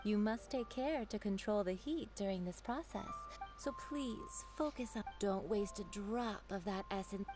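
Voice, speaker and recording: An actress playing a charming, teasing young perfume seller in a video game, with a playful, poised manner. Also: female voice, mid-range voice, a little slow, lightly acted. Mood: instructive, impressed. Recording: game audio under music. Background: clear game music.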